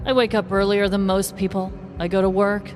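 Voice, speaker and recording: A woman's voice: mid-range voice, flat delivery, a little fast, close to an everyday voice. A woman playing a weary, exasperated middle-aged school bus driver in a comic audio story, whining half-heartedly. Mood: weary complaining. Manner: half-hearted, whimpering, complaining. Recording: echoey, noisy recording.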